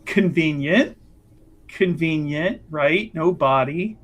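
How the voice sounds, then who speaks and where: A man's voice: on the high side, slow pace. A man voicing a blunt, sardonic devout woman investigator with a probing, salty manner in a tabletop roleplaying game. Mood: skeptical. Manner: probing, sarcastic, salty-tongued.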